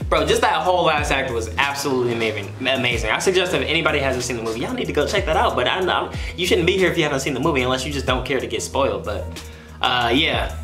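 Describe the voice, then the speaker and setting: An American man in his twenties giving an excitable, slangy, casual movie review to camera as a YouTuber. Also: mid-range voice, fast, roomy sound, over music. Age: 20s. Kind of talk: animated casual vlog review. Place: small home room, indoors.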